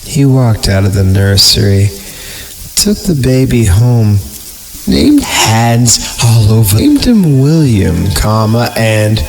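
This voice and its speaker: A man's voice: lowish voice, very animated, slow pace. A man hosting a podcast, reading horror stories aloud in a mock-dramatic way and breaking off for wry asides. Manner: wry, savoring words, breaking off to comment. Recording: loud music under the voice. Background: music.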